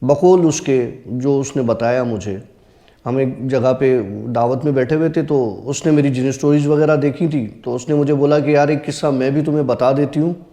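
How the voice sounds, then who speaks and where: A man's voice: mid-range voice, steady tone, normal speed, warm tone. A man telling personal stories in conversation, earnest and serious. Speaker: storyteller guest on a horror story show. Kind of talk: casual conversational storytelling.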